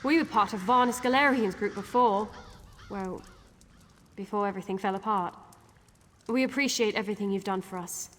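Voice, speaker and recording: An actress playing an earnest, hesitant, war-weary mage survivor in a fantasy video game. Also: female voice, medium pitch, normal speed, pauses often, fairly bright tone, close to an everyday voice. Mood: weary, grateful. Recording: long hall reverb.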